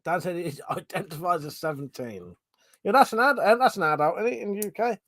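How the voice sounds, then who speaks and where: A man's voice: high voice, fairly quick, no echo, clean studio sound. A man in his forties chatting casually on a live stream, reading out comments, swearing and laughing as he talks. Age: 40s. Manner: swearing, laughing, animated banter. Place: home room.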